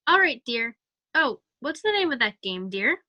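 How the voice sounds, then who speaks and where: Woman acting as patient mom, bright voice, dry sound, amused, indulgent, audio drama. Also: on the high side, big pitch swings, moderate pace, dry recording, muffled recording.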